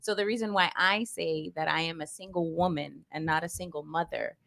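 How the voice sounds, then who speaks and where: A woman's voice: deep voice, steady tone, a little fast, creaky, a bit bright, dry recording. A woman in her thirties, a stand-up comic, talking in an outspoken, joking and profane way on a podcast panel. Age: 30s. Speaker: panel guest, comedian. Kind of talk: casual panel chat. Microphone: headset.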